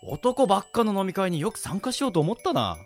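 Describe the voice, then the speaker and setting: A man acts out a wary young man in a radio drama. His voice sits high and moves up and down a lot as he grumbles and fires back blunt retorts over a music bed. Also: big pitch swings, fairly quick. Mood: casual, upbeat. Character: wary, exasperated young man among drinking buddies, Kenji. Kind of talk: amateur radio drama acting.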